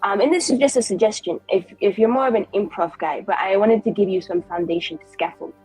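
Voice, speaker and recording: A woman's voice: mid-range voice, quick pace, a little mellow, breathy edge, dry recording, soft background music, poor audio. A woman playing a tabletop game, improvising in character in a coaching, scheming manner.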